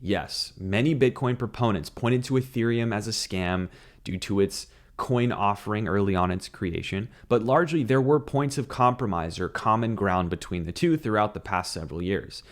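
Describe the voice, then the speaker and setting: A man in his thirties hosting a crypto commentary show, scripted and opinionated, his voice a little scratchy from no sleep, recorded on a desk mic in a small home studio. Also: on the low side. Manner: opinionated, brisk, mocking at illogical debates. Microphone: desk broadcast mic on arm.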